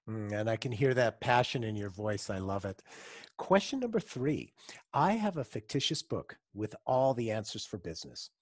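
A man's voice: fairly low pitch, very animated, moderate pace, dry recording, clean studio sound. A man hosting a business podcast, reading his questions in an upbeat, announcing way and lifting his voice on each question number. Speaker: podcast host. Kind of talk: scripted podcast interviewing.

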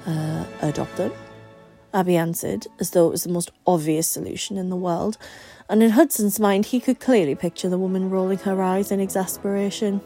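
A woman reading a story aloud in an expressive scripted audiobook style. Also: mid-range voice, a little fast, soft background music. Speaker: volunteer story reader.

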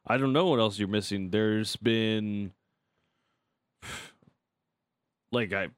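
Wry low-voiced male radio co-host. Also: takes it slowly, clean tone, no room sound. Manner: wry, laid-back. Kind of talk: casual radio banter. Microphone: studio mic.

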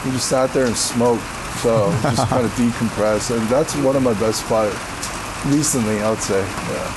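A man from Korea with a deep voice, answering interview questions in a rambling, casual way with many fillers. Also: normal speed, background noise. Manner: rambling, filler-heavy, laughs easily. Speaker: interview guest.

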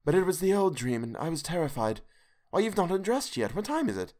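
A man voicing a frightened young wife in a storybook reading, raising his voice high and trembling. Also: big pitch swings, fairly quick, darkish tone. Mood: shaken. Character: terrified young wife, woman, fragile.

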